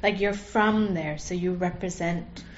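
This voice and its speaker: A woman's voice: lowish voice, measured pace. A woman leading a casual conversation as interviewer, prompting with questions and frequently affirming what she hears. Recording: muffled with faint background hiss.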